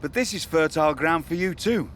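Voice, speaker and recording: Slightly high-voiced smooth-talking boss. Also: male voice, lively intonation, brisk, a bit bright, a little hiss or hum. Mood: evasive. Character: smooth-talking, evasive male wrestling show manager. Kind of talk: video game cutscene acting.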